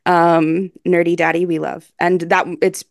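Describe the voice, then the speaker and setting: A woman's voice: on the low side, flat delivery, unhurried, gravelly, no echo, clean studio sound. A woman co-hosting a podcast as a guest, talking casually and jokingly in informal, slangy language. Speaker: podcast guest co-host recommending fan fiction.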